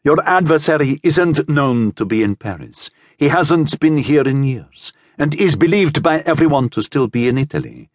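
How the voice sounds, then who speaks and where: A man voicing an impatient, insinuating gentleman schemer in an audiobook adventure tale. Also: mid-range voice, big pitch swings, normal speed, pitched above the performer's own voice. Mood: impatient persuasion. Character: smooth impatient cloaked gentleman hiring a killer.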